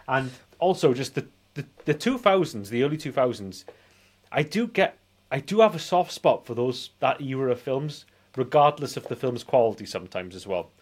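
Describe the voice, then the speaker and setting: A man's voice: medium pitch, big pitch swings, moderate pace. A British man in his forties chatting casually as a podcast co-host, rambling and nostalgic. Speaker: film review podcast co-host. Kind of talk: casual chat.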